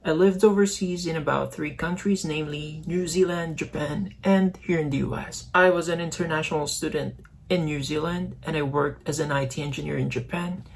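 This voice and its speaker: A man's voice: a little high, fairly even intonation, moderate pace, gravelly, hardly any pauses, a little hiss or hum. A young man from the Philippines with a Filipino accent, talking casually to the camera as a vlogger. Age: young adult. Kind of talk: casual vlog talk to camera.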